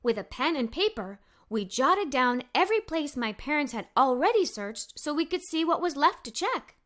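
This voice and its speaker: A woman narrating a children's story as a read-aloud, with animated, expressive delivery. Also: high voice, normal speed.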